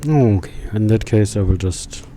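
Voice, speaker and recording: A man's voice: fairly low pitch, normal speed, mellow, slight background noise. A man live-streaming a story game, chatting with viewers as he plays.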